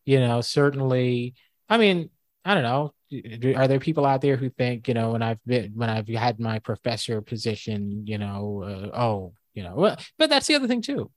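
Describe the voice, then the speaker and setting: A man with a fairly high, mid-pitched voice chats casually as a co-host. He thinks aloud, restarting sentences and leaning on fillers. The sound is dry and close. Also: lively intonation, moderate pace, no room sound. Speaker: co-host and former college professor. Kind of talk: casual podcast debate.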